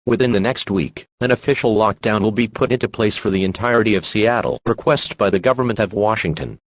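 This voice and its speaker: A man's voice: on the low side, fairly quick, no room sound, close to an everyday voice. A man playing an official, impersonal government announcer who reads a scripted emergency broadcast message. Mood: official, stern.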